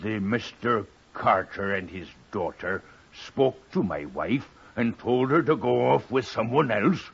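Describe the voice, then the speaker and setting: A wandering murderer in an old radio play. He speaks slowly and deliberately, low and husky, matter-of-fact and aggrieved. The vintage recording is dull and muffled. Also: expressive, full character voice. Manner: slow, deliberate dialect speech. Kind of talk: 1950s radio drama acting.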